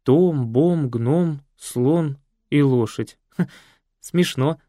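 Guy doing a talkative kindly village lady, lifts his voice up, very animated. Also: high voice, moderate pace, dry recording, studio quality, pitched above the performer's own voice. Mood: chatty. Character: chatty, kindly village woman, a priest's daughter. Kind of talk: audiobook character voice.

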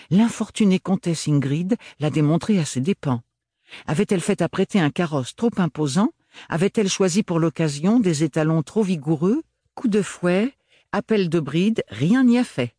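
A man voicing a giggly young woman who reads gossip aloud with gushing excitement. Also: sits high, dry recording, studio quality, voice pushed up.

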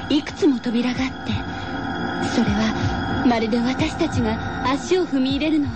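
An actress playing a sceptical senior schoolgirl who narrates an audio drama in a measured, dry storytelling way. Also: high-pitched, natural voice. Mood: ominous. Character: sceptical senior schoolgirl narrating her ghost-hunting club's log. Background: loud music.

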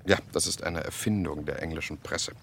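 A worldly, scheming journalist in a radio play. His voice is deep and gravelly, and his delivery is theatrical, jovial and sardonic. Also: steady tone, fairly quick, a bit bright, a little hiss or hum, exaggerated voice. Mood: confiding, wry. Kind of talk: radio drama acting.

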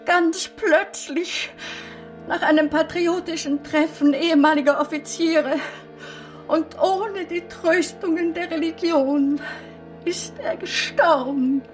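A high-voiced, mournful old mother. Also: steady tone, slow, soft background music. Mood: mournful, solemn. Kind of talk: German radio drama acting.

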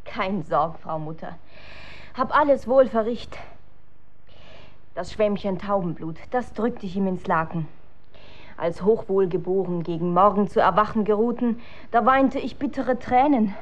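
Period radio drama: woman playing crafty, dutiful young courtesan, mid-pitched, slightly muffled recording. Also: unhurried, a little hiss or hum, natural voice. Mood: sly, reassuring. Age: young adult. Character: cunning, dutiful young courtesan daughter in olden times. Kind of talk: German radio drama acting.

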